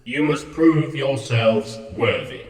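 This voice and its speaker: Man playing portentous guardian, slow proclamations, mid pitch, cavernous echo, fantasy comedy drama. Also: lively intonation, a little mellow. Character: solemn, portentous male guardian of a crypt trial. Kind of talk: comic fantasy audio drama. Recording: echoey cavernous reverb.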